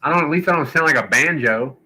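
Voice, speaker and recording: A man's voice: a little high, quick pace, pushed and bright. A man hosting a casual podcast, blunt and profane, who likes to tell corny jokes. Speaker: podcast host.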